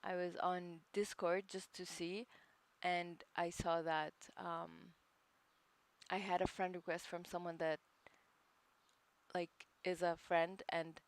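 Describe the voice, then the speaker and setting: Soft-spoken hesitant woman streamer. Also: fairly low pitch, creaky. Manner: hesitant, self-conscious, laughs often. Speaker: livestream host studying along. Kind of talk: casual live-stream chat.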